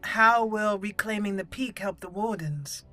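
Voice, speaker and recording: A woman voicing a haughty, formal female elf mage in a role-played fantasy video game, with measured speech. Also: medium pitch, bright, forceful tone. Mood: curious, formal. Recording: game music clearly behind the voice.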